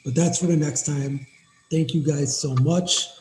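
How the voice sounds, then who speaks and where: A man with a raspy voice, talking quickly and energetically as he walks viewers through a software demo on a screen share. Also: fairly high pitch, echoey room, slight background noise, poor audio. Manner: fast, energetic, addresses viewers as guys. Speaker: cleaning business owner giving a software demo. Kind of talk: casual screen-share tutorial.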